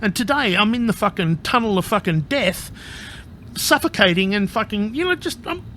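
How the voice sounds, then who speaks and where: Man, mid-high voice, blunt and foul-mouthed vlogger, rambling and on edge. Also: expressive, normal speed, pushed and bright, slight background noise. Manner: profane, rambling and candid, with frequent swearing; anxious and agitated. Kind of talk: casual personal vlog.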